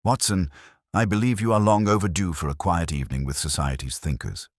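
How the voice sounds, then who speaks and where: A man voicing a shrewd, commanding gentleman detective in an audiobook, clipped and formal. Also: deep voice, steady tone, a little fast, no room sound, studio quality.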